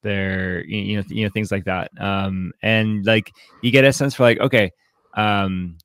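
A man's voice: fairly low pitch, steady tone, moderate pace, fairly bright tone, no room sound. A man co-hosting a podcast in casual book discussion, talking in a hesitant, rambling way with many false starts. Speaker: podcast co-host.

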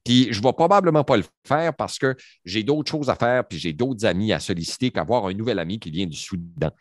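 A man's voice: on the low side, expressive, a little fast, dry recording, clean studio sound. A man, giving his opinions on a panel in a rambling, emphatic way with many fillers. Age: 40s.

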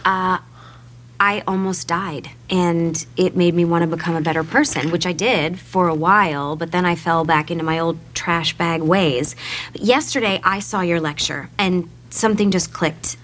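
An actress playing a blunt, self-mocking young woman who talks in a fast, casual, wisecracking way. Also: on the low side, steady tone, a bit bright, a little hiss or hum, lightly acted. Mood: brash. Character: brash, self-deprecating young woman seeking to be good.

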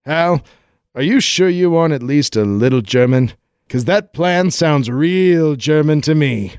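Man playing brash high-voiced adventurer Brink. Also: lively intonation, unhurried, darkish tone, clean tone, no room sound, studio quality. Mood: sarcastic. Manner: folksy, sarcastic, cocky. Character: brash, wisecracking American adventurer hunting the Grail. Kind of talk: 1940s-style radio play acting.

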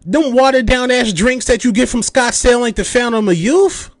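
Ranting man in his thirties, high voice. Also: moderate pace, dry recording, studio quality. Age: 30s. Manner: heated, profane, mocking rant with mimicked quotes. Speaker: podcast host. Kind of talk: ranting commentary.

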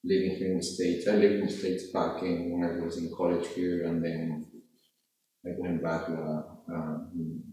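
A man's voice: deep voice, flat delivery, measured pace, warm tone. A man from Ecuador sharing in a group circle, earnest and hesitant. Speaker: sangha member sharing. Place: meditation hall. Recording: very echoey hall.

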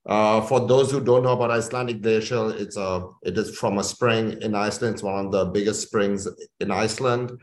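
Male executive from India, lowish voice, enthusiastic interview guest, roomy echoing sound. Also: fairly even intonation, brisk, hardly any pauses. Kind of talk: conversational business interview. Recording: echoey room.

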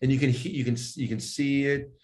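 A man who works as a voice and speech coach, explaining his work in an interview with animated, demonstrative emphasis. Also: medium pitch, fast talker.

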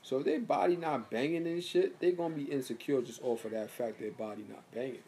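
A man's voice: a little fast, a little hiss or hum. A man in his thirties in casual chat as a podcast co-host, talking in loose slangy bursts. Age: 30s. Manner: laid-back slangy talk, lively pitch swings.

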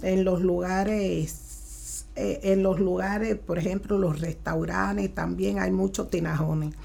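A woman with a Cuban accent, answering interview questions as a guest in plain, unhurried sentences with pauses. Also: on the low side, fairly even intonation, creaky, warm tone. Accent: Cuban Spanish. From Cuba.

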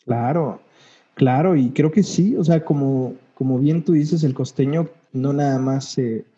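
A man from Mexico City with a Mexico City accent, co-hosting a casual chat and explaining things in a relaxed way. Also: medium pitch, measured pace, muffled recording. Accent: Mexico City Spanish. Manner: explaining, relaxed, asks questions. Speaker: podcast co-host.

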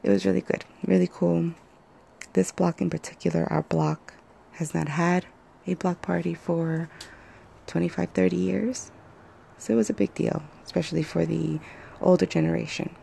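A woman hosts her own podcast, recording straight into a phone. Her voice is hoarse and deeply gravelly, pitched a little low with a dark, mellow tone. She rambles casually, pausing often and filling the gaps with ums and uhs. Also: steady tone, a little hiss or hum. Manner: rambling, hesitant, many fillers. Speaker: podcast host. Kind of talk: casual solo podcast chat.

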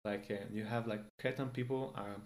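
Low-voiced Greek man, hesitant speech. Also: steady tone, normal speed. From Greece. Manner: hesitant, many fillers and restarts. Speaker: guest. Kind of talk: casual interview in English.

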